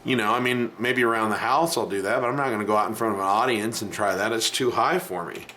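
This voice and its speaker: A middle-aged man with a low voice teaching piano in a casual video lesson, talking bluntly and chatting between the keys he plays. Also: quick pace. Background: piano playing.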